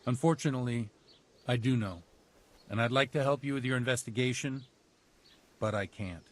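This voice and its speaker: A man acts out a village priest in a game cutscene, solemn and unyielding. His mid-pitched voice is measured and pious, with a fatherly condescension. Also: lightly acted. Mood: regretful. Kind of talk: video game cutscene acting.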